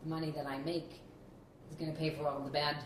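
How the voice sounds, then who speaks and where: Fast-talking Boston woman. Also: deep voice, flat delivery, echoey room, a little hiss or hum. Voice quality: laughs often, talks in quick run-on bursts. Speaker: podcast host. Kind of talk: casual interview chat.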